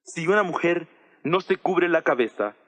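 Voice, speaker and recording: Higher-voiced apostle teaching his church. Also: lively intonation, normal speed, a bit bright. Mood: measured, instructive. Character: apostle instructing his church, measured and authoritative man. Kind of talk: dramatized audio Bible reading. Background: music.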